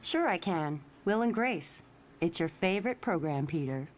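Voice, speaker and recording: An actress playing a perceptive, needling young telepath superheroine in a motion comic, frank and probing. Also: female voice, lowish voice, expressive, normal speed, a little hiss or hum, natural voice. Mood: teasing.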